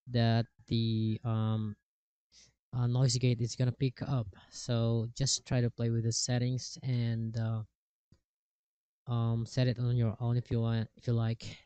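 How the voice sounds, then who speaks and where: A man giving a casual software tutorial in a halting, step-by-step way, speaking into a cheap microphone. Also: mid-range voice, flat delivery, clear, resonant tone, dry recording. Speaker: tutorial presenter. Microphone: cheap microphone with software processing.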